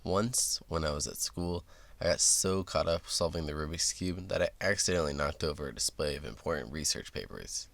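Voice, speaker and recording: Man doing a deep, creaky nerdy duck sidekick. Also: fairly quick, fairly bright tone, no room sound, heavily acted. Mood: sheepish storytelling. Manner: nerdy, matter-of-fact fact-dropping. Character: male talking duck sidekick who shares science trivia. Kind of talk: scripted comic chat in a children's read-aloud show.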